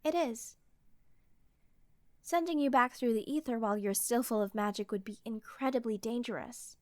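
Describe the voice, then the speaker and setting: A woman playing a high-voiced, proper fantasy queen. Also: normal speed, no room sound, close to an everyday voice. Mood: explaining. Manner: ceremonious, proper, reverent. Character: earnest, formal, easily scandalized fantasy queen. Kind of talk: fantasy audio roleplay acting.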